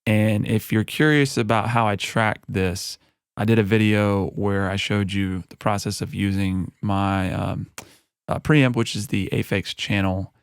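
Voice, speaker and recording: Chill guy w a lowish voice walking thru his mix, lots of "you know" and "uh", dry close broadcast mic. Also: measured pace, smooth, no echo. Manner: relaxed and conversational, with frequent fillers. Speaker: music producer and recording artist. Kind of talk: mixing tutorial walkthrough. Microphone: broadcast studio microphone.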